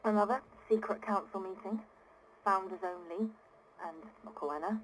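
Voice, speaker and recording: An actress playing an exasperated, earnest and privileged founder of an ocean city in a video game audio diary, speaking articulately and emphatically. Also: female voice, medium pitch, a little mellow, slight background noise, natural voice, poor audio. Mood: weary, disillusioned. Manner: indignant, articulate, emphatic, with weary sighs.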